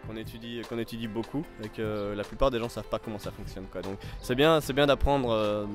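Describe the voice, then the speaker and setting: Casting: man, late twenties, casual interview guest, halting delivery, music behind. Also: lowish voice, fairly even intonation. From Belgium. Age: 20s. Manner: hesitant, many fillers and restarts, chuckles. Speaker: interview guest, French tutor.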